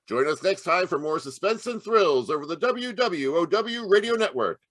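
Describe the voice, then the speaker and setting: A man's voice: high voice, expressive, moderate pace, fairly bright tone, no echo, studio quality. A man working as a radio announcer in a theatrical, showy 1940s style.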